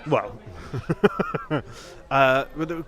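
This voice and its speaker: A man's voice: medium pitch, expressive, very slow, fairly bright tone. A man with a British accent hosting a casual podcast chat, often circling back over his phrases. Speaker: podcast host.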